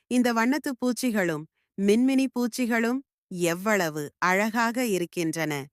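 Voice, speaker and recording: A woman voicing a kind, curious young village girl in a cartoon, speaking gently and earnestly. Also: medium pitch, slow, no room sound, studio quality, lightly acted.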